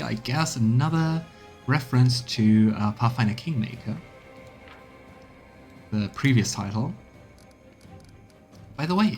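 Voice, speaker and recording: A man's voice: medium pitch, darkish tone. A man giving casual, musing let's play commentary on a video game.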